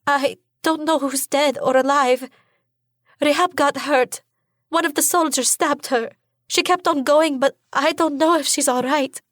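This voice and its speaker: A woman's voice: sits high, steady tone, measured pace, no room sound, studio quality, pitched above the performer's own voice. Woman voicing a quivering, frightened young princess. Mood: pleading, fearful. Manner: quivering, begging. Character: frightened young princess sister, pleading and tearful.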